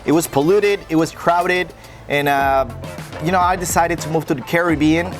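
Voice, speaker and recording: A man's voice: fairly high pitch, normal speed, soft background music. Mexican man with an American-sounding accent. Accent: American-sounding English. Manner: friendly, explanatory, with frequent "uh" fillers. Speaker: real estate broker guest. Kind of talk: interview answers.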